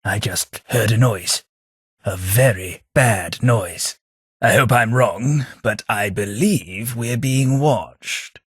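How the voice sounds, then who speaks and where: An actor playing a refined, dry-witted male ghoul companion with an upper-class Southern English accent and a husky voice, recorded close in a studio for a game. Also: fairly low pitch, takes it slowly, a bit bright, no room sound, heavily acted. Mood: wary. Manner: dry, wry, refined delivery. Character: posh, witty male ghoul gentleman companion. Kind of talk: video game voice lines. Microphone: close studio mic.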